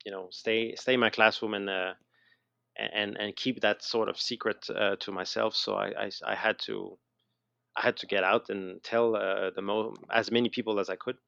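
A middle-aged man with a Quebec French accent speaks in a low voice with a gravelly fry. He talks reflectively as an interview guest, stopping and restarting phrases as he goes. The recording is dry and slightly dull. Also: little pitch movement, pushed and bright, dry recording. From Montreal, Canada. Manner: halting, repeats words mid-sentence. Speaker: interview guest, educator and designer. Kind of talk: casual interview, reflective storytelling.

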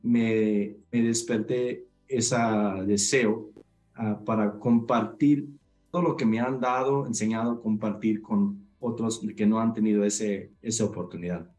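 A man's voice: lowish voice, fairly even intonation, unhurried, a little mellow, faint music behind, low-quality recording. Hesitant Mexican man, upbringing. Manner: hesitant, many restarts and fillers. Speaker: guest. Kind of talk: video call interview.

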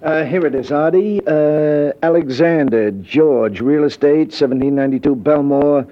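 A man's voice: fairly high pitch, normal speed, slight background noise, lightly acted. An actor playing an eager, fussy male sidekick who hesitates and then insists, in 1950s radio style. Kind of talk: 1950s radio drama acting. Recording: muffled 1950s radio recording.

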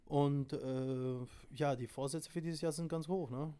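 A man's voice: on the high side, fairly even intonation, unhurried, warm tone, clean tone. A man chatting casually as a podcast guest, in slangy, emphatic street talk with plenty of swearing. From abroad, came to Germany late. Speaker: interview guest, corner shop owner.

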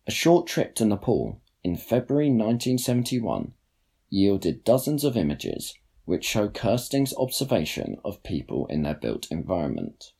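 Deep-voiced young man reading, lively intonation. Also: normal speed, vocal fry, husky. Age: young adult. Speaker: student writer reading own blog post. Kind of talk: scripted narration, read aloud.

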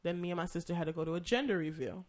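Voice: medium pitch, very fast. A podcast co-host who is losing their voice to a cough, telling a long, profane story in casual chat.

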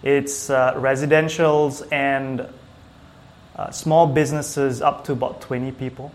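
A man from Singapore chatting casually as an interview guest, with hesitant, halting phrasing. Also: medium pitch, flat delivery. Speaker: small business owner, IT technician, interview guest.

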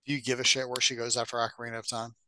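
A man's voice: medium pitch, level tone, racing, pushed and bright, no echo. A man co-hosting a podcast in casual, bantering conversation with a joking, sardonic manner. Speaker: podcast co-host. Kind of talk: casual bantering chat about games and films.

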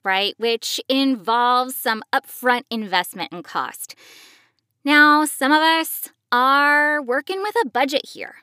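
A woman's voice: fairly high pitch, pushed and bright, smooth, dry recording, clean studio sound. A woman hosting a podcast, explaining things to parents in a conversational way. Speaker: podcast host and voice actor.

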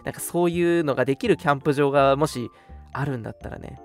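A young adult man hosting a live web radio show, chatting in an animated, colloquial way. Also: medium pitch, fast talker. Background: background music.

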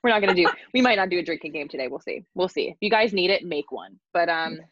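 A woman co-hosts a podcast from her bed in a deep voice. She jokes at her own expense, laughs often and wanders off on tangents. The video call audio is dull and lo-fi, with a dry, close sound. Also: animated, fast, fairly bright tone, dry recording. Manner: playful, laughing, self-deprecating, tangent-prone. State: worn out after crying. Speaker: podcast co-host. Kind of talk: casual chat over video call.

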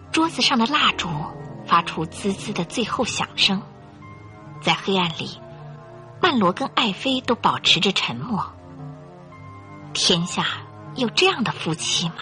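Female narrator for radio drama, lively swinging intonation, bright tone, suspenseful delivery, music underneath. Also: medium pitch, unhurried, long pauses. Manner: theatrical, suspenseful, clearly articulated.

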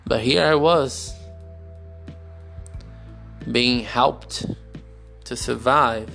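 A man's voice: medium pitch, creaky, fairly bright tone, soft background music. A young Brazilian man with a Brazilian accent, a non-native English speaker, telling a personal story on his podcast in a candid, searching way, recorded on a phone. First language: Portuguese. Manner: slow, searching, candid, with pauses and restarts. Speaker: podcast host.

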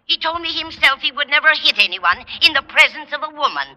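A blunt, indignant landlady in a 1950s radio sitcom. Her high voice is very sharp and forceful, rising and falling vividly as she scolds. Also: lively intonation, fast talker, heavily acted. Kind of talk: 1950s radio situation comedy acting.

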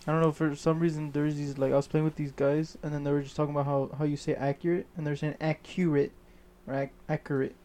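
A man's voice: on the high side, little pitch movement, fast talker, warm tone. A man chatting casually with friends, blunt and profane, joking around. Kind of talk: casual chat among friends.

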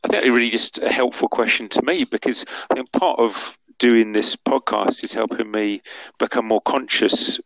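A man's voice: mid-range voice, steady tone, moderate pace, fairly bright tone, dry recording, poor audio. A man co-hosting a podcast, hesitant and thinking aloud with restarts. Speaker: podcast co-host. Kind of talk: podcast discussion.

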